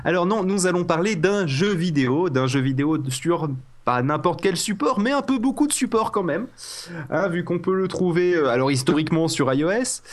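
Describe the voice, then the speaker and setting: A man hosting a casual comedy podcast, rambling and joking with many fillers, his voice a little rough from a slight cold. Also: high voice, lively intonation, normal speed. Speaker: podcast host.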